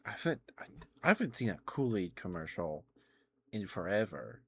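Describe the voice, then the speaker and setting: A man chatting casually to viewers on an ASMR livestream, rambling with hesitant pauses. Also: low voice, sing-song, a little hiss or hum.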